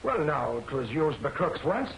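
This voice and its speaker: An actor playing an excitable, suspicious newspaperman in the style of a 1940s film serial. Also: on the high side, moderate pace, creaky, slight background noise, heavily acted. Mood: eager. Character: excitable, suspicious newspaperman eager to convince his boss. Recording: echoey old film soundtrack.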